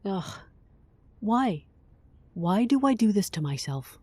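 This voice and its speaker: Actress as a slow, imperious, exasperated woman. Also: medium pitch, big pitch swings, noisy background. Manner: deliberate, haughty, long-suffering. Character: stern, exasperated, imperious female client with mysterious wares. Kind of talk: audio drama acting.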